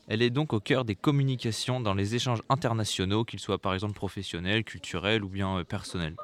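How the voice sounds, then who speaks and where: A young man reading a prepared school report aloud, with rhetorical asides and occasional self-corrections. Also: lowish voice. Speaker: student presenter.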